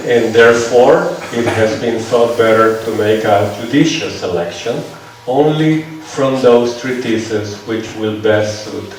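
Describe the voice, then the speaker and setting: A man's voice: lowish voice, a little mellow. Man with a Venezuelan accent lecturing in an echoey hall. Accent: Venezuelan Spanish. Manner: conversational, checks on listeners with "right?". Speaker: seminar lecturer. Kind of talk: lecture with audience questions. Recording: echoey hall, mic far off, faint noise.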